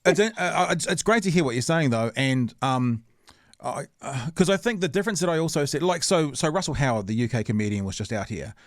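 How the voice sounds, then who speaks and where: A man with a New Zealand accent hosting a casual podcast chat. His pitch sits mid-range, and he talks in long, winding sentences. The sound is close and dry, with no room echo. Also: expressive, fairly quick. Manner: chatty, laughing easily, long winding sentences. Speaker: podcast host.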